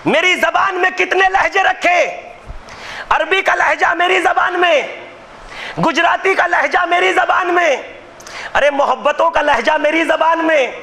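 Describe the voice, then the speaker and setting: A man delivering a Muharram majlis sermon in impassioned oratory, shouting many lines. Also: extremely high pitch, steady tone, measured pace. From Gujarat, India. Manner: impassioned, declaiming, often shouting rhetorical lines. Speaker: maulana preaching a majlis. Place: religious gathering hall.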